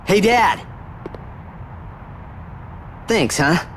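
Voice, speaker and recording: Boyish, high-pitched young warrior. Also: male voice, expressive, drawn-out words, fairly bright tone, slight background noise, full character voice. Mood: earnest. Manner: indignant, emotional, boyish. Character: young hot-headed warrior son, earnest, defiant, boyish. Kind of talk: fantasy video game voice acting.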